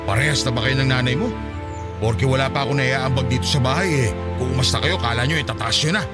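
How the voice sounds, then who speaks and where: A man's voice: medium pitch, quick pace, lightly acted. A man playing a defensive, evasive middle-aged father in a Tagalog radio drama, indignant and insistent as he argues. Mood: testy, wounded. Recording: loud music bed under dialogue.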